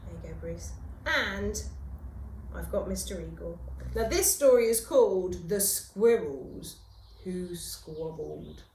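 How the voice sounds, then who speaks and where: A woman teacher reading a children's story aloud in a theatrical, expressive way with dramatic pauses. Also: lowish voice, slight background noise. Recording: roomy, echoing room sound.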